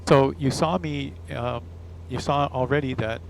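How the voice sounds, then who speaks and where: A man's voice: mid-range voice, fairly even intonation, a little slow, vocal fry, low-quality recording. A man giving a chatty, explanatory hands-on demonstration in a casual style, speaking into a headset or lapel mic. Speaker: product demonstrator, pilot. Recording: faint background noise, headset and lapel mics.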